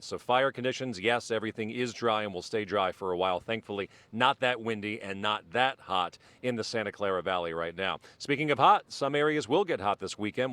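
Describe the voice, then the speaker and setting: A male weatherman with a lowish voice, talking through conditions in a chatty, upbeat way. The studio sound is dry and close, with no room echo. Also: a bit bright. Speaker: meteorologist. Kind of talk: weather report.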